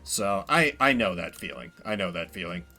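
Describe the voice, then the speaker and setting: Guy streaming a game, bright punchy voice, really animated, wry little asides, bit of music behind. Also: low voice, normal speed. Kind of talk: casual solo gameplay commentary.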